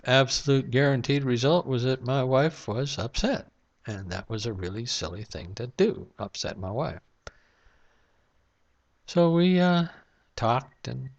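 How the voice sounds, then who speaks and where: A man speaks straight to his camera at home at night, rambling and reflective, with frequent "uh"s between thoughts. His voice sits at an ordinary middle pitch. The recording is dull and lo-fi. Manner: rambling, reflective, with many fillers. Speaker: vlogger. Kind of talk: casual monologue to camera. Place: home room at night, lamp-lit.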